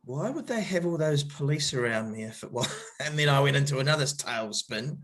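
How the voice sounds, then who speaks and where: A man's voice: medium pitch, animated, normal speed, fairly bright tone. A middle-aged man with a New Zealand accent, telling his story in a podcast interview, hesitant and full of fillers and restarts but open and reflective. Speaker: guest, cricket coach.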